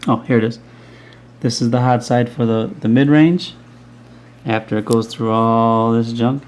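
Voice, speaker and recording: A man's voice: medium pitch, a little mellow, smooth. A man casually explaining a speaker crossover build from behind the camera at an indoor workbench, rambling with many ums and restarts. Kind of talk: casual off-the-cuff tutorial. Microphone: camera mic, behind the camera.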